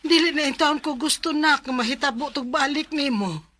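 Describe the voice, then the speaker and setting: A woman's voice: sits high, moderate pace, sharp tone. An actress with a Cebuano Filipino accent playing a caring, worried mother in a radio drama, speaking with motherly concern. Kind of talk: Bisaya radio drama acting.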